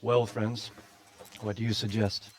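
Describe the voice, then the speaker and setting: An actor playing a worried, cautious, weary medieval lord in a video game. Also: male voice, fairly low pitch, flat delivery, a little slow, no echo, natural voice. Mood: resigned. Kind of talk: dramatic video game acting.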